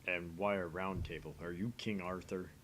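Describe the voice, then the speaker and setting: A man's voice: low-pitched, steady tone, normal speed, slight background noise. A man playing a theatrical, pompous young self-styled mad scientist in a visual novel, switching between grand pronouncements and narration. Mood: haughty. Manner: grandiose declarations, quieter asides as narrator.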